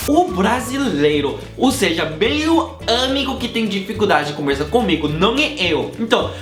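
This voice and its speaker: A man's voice: sits high, very animated, moderate pace, fairly bright tone. A young Korean man speaking with a Korean accent, a YouTuber giving casual, playful tips and acting out little scenes with slang. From South Korea. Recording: roomy room with background music.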